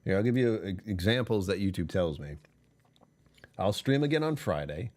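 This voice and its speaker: A man hosting a casual live stream, rambling and chuckling as he chats with viewers; he sounds tired and his voice is lower than usual. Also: expressive, normal speed, darkish tone. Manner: rambling, chuckling, talking to chat. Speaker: livestream host.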